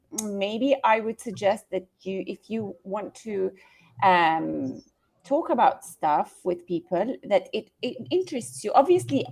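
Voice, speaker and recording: A woman working as an English tutor, chatting casually on a video call, encouraging her student and offering gentle suggestions. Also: fairly high pitch, breathy edge. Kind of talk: casual conversation lesson.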